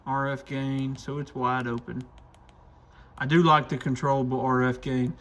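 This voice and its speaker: A man's voice: medium pitch, level tone, moderate pace, a bit bright. A man hosting a casual hands-on product demo, thinking aloud informally as he tinkers. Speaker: radio shop reviewer and video host.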